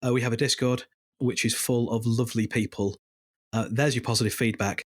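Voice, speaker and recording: A man's voice: mid-range voice, steady tone, brisk, no echo, studio quality. A man guest on a casual podcast roundtable who describes his show at length in an earnest way.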